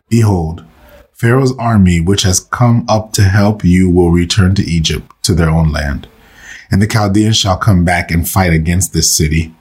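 A man leading a slow, meditative scripture reading and prayer for listeners, saying he is under the weather and losing his voice. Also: sits low, husky. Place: recorded for online listeners.

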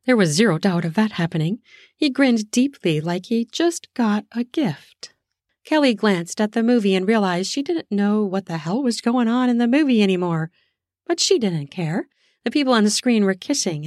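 A woman narrating an erotic audio story in a slow, sensual way, lingering on words for emphasis. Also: mid-range voice, lively intonation, darkish tone, no echo, clean studio sound. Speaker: storyteller, podcast host.

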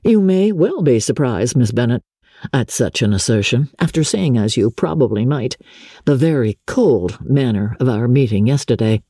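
A woman voicing a genteel, smooth-talking young gentleman officer in a dramatic audiobook, courteous, confiding and a little hesitant. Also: rumbling low, expressive, moderate pace, no room sound, studio quality, exaggerated voice. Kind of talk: dramatic audiobook character reading.

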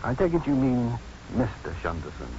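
An actor playing a self-possessed, protective doctor in a 1950s radio drama, measured, dry and firm. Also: male voice, mid-range voice, sing-song, mellow, close to an everyday voice. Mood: firm, guarded. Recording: muffled, noisy 1950s radio broadcast.

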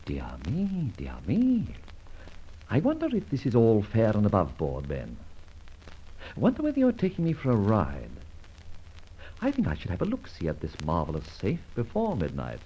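An actor playing a witty, debonair gentleman adventurer in an old-time radio drama. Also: on the low side, huge pitch swings, moderate pace, a little hiss or hum. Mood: musing, wary. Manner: debonair, flippant, bantering. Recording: dull old radio recording.

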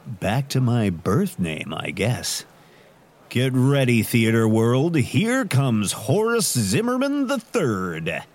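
Hammy, swooping theatrical radio host. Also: male voice, medium pitch, sing-song. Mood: wry, grandiose. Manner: melodramatic, pompous, drawn-out delivery. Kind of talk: radio drama acting.

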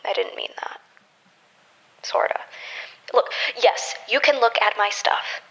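An actress playing a guarded, sardonic operative in an audio drama, speaking curtly. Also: female voice, a little high, slow pace, vocal fry, a bit bright, whispered, dull audio, full character voice. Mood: guarded, irritated. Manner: curt, sardonic. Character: guarded, sardonic woman operative, wary and curt. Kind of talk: naturalistic audio drama dialogue.

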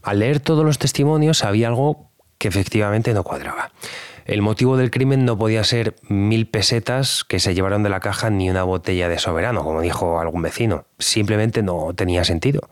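A man's voice: lowish voice, a little fast, clean tone, no echo, clean studio sound, lightly acted. A man plays a small-town civil guard, telling of his cold case as interview testimony in an audio drama. He is reflective and conversational, hesitating as he goes, with faint music underneath. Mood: reflective, puzzled. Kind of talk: documentary-style interview testimony.

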